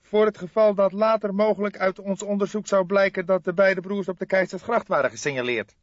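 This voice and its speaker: A man reading an audiobook, voicing an excitable young police detective who questions eagerly and indignantly. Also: sits high, a little fast, no room sound, muffled recording, pitched above the performer's own voice, natural voice. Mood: eager, probing.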